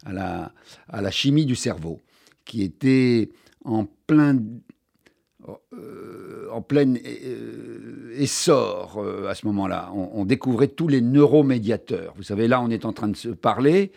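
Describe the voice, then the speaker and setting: An older man, a journalist and author, telling his story in an interview with lively emphasis and frequent restarts. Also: medium pitch, unhurried, gravelly. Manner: animated storyteller, many restarts, stressed key words. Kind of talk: conversational interview.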